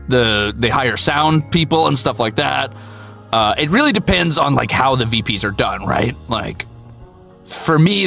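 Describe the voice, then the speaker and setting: A man's voice: medium pitch, gravelly, sharp tone. A man chatting casually on a livestream, candid and playful, swearing freely and poking fun at himself. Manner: candid, playful, profane, self-deprecating. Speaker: VTuber streamer and voice actor. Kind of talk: casual livestream chat answering viewers.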